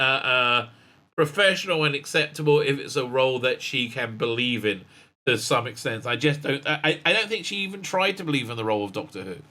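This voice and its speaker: Male host for a fan commentary show, bright and forceful, rambling and excitable. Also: fairly high pitch. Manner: excitable, many fillers and asides, laughs often. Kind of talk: casual commentary reading news aloud.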